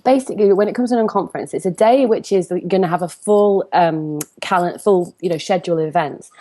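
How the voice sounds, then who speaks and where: Northern English woman, slightly low voice. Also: fast talker, warm tone. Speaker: interview guest, comparison coach. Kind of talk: conversational interview, personal storytelling.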